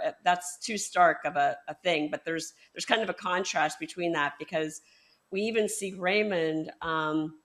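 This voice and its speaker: A lower-pitched woman interviewing an author on a book podcast. She talks in a hesitant, searching way, full of ums and restarts. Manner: hesitant, many fillers and restarts. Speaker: podcast host. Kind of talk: conversational interview.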